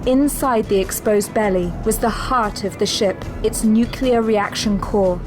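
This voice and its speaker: A woman's voice: mid-range voice, unhurried. A woman delivering scripted dramatic narration as the narrator of an audio drama. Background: music.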